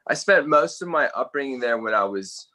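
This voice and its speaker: A man's voice: medium pitch, animated, normal speed, sharp tone. A man in his twenties with an Australian accent, chatting loosely and playfully as a podcast guest. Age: 20s. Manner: playful, laughing, loose and rambling. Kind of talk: casual podcast chat.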